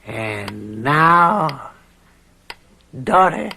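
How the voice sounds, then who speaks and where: An actor playing a scheming, controlling older farm uncle with drawn-out, falsely kindly wheedling in an old radio mystery drama. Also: male voice, fairly high pitch, sing-song, creaky, noisy background, exaggerated voice. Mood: oily, coaxing.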